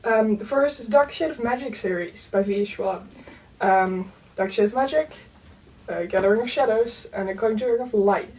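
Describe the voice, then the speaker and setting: A young woman whose first language is Dutch, chatting casually in a book vlog, full of "um" and "like" and soft laughs. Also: mid-range voice, normal speed, distant mic, poor audio. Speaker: book vlogger. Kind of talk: casual chat.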